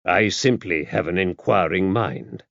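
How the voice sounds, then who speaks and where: A man voicing a calm, tactful investigator, asking measured, polite questions in an even manner. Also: low voice, vocal fry, no echo, dull audio, full character voice. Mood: even, guarded. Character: calm, tactful male investigator, quietly probing and self-possessed.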